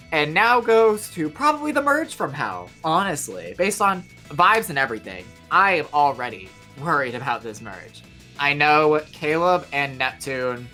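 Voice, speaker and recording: Bright-voiced worried young man. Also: mid-range voice. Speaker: camp counselor. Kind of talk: casual confessional about game strategy.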